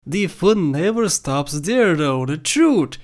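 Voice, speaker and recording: Video game countdown narrator, mid-pitched voice. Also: big pitch swings, takes it slowly. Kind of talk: scripted countdown commentary.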